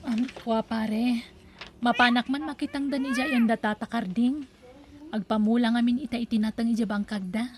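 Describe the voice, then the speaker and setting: Woman doing a worried ilocano village mom, highish voice, radio drama style, noisy recording. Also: steady tone, normal speed, close to an everyday voice. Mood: neighbourly. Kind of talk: Ilocano audio drama acting. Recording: clearly noisy ambient background.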